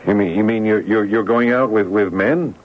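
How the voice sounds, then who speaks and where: A man playing a self-important, needy middle-aged ex-husband in a radio drama, halting and stammering as he speaks. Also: on the low side, a little hiss or hum, lightly acted. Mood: awkward, hesitant. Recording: dull old radio recording.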